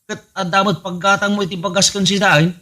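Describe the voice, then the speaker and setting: A man's voice: sits high, little pitch movement, a little fast, bright, forceful tone. A man voicing an exasperated husband who scolds his wife. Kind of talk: comedy radio drama acting.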